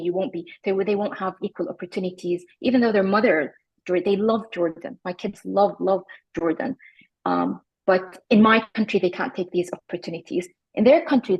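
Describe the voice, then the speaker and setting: A woman in her forties, from Iraq, talking reflectively as an interview guest, often pausing with fillers and restarting her sentences. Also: medium pitch, breathy edge, muffled recording. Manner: thoughtful, many fillers and restarts.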